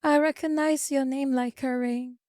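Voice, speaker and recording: A woman's voice: high-pitched, steady tone, moderate pace, darkish tone, no room sound, studio quality. A woman streaming live, chatting casually with viewers, reading their comments and lecturing them. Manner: chatty, lecturing, reads chat aloud. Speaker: VTuber streamer.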